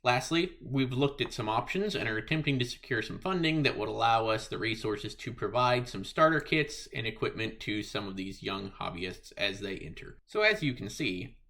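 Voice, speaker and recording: A man's voice: medium pitch, expressive, moderate pace, vocal fry, few pauses, bright, forceful tone. A man giving a scripted presentation as a member of a hobby club.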